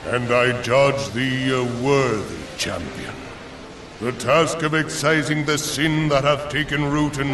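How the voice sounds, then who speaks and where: A man's voice: mid-range voice, lightly acted. A man voicing a solemn, stern ancient god in a fantasy video game, speaking in grave, archaic pronouncements. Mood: solemn judgment. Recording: distant, echoing voice over loud music.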